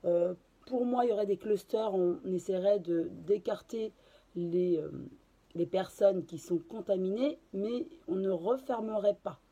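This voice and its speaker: Hoarse woman with a cold. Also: mid-range voice, measured pace, dark tone, dull audio. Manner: hesitant, many fillers and restarts. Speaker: card reader and channeler. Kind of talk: casual tarot reading to camera.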